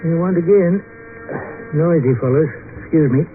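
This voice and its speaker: An actor playing a slow, grumbling old country elevator operator in a folksy, dry manner in an old radio drama. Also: male voice, fairly high pitch, natural voice. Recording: tinny, noisy old radio recording.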